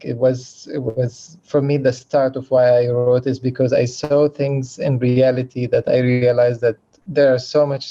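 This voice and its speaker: Gravelly mellow man from Palestine. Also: mid-range voice. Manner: hesitant, many fillers and restarts. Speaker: film director on a festival panel. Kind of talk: unscripted panel answer. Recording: dull, muffled sound.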